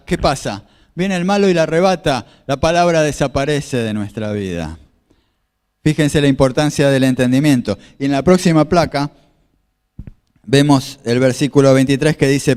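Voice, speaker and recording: A male pastor preaches in a plain middle-pitched voice. He explains passages step by step, repeats himself and asks his audience questions. A long reverb of a hall trails each phrase. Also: a little mellow. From Argentina. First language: Spanish. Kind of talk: sermon with slides.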